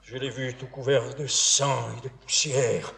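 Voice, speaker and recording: Proud aged nobleman, slow grandiloquent verse, vengeful father, stage tragedy, long hall reverb. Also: medium pitch, little pitch movement, creaky, a bit bright. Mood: wounded, solemn. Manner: grandiloquent, emphatic declamation. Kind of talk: classical French verse tragedy, stage declamation.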